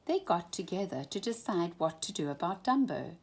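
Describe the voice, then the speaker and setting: A woman reading a children's storybook aloud at bedtime in an expressive, animated way. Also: fairly low pitch, moderate pace, husky.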